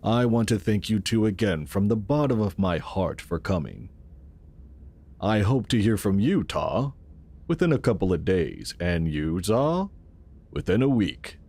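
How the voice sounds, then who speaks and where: Casting: courteous, persuasive sci-fi founder, low voice with very lively intonation. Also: male voice, a little slow, husky, exaggerated voice. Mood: courteous, reassuring. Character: assured male founder of a futuristic secret city. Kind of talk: audio drama acting.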